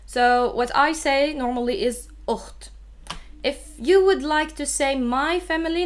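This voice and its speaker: A woman with a Levantine Arabic accent, a native Arabic speaker, teaching a live online language lesson in an explanatory, emphatic way. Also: fairly high pitch.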